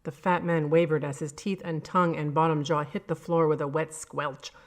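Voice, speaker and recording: A woman's voice: low-pitched, level tone, moderate pace. A woman reading a scripted horror story as narrator. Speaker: audio fiction narrator.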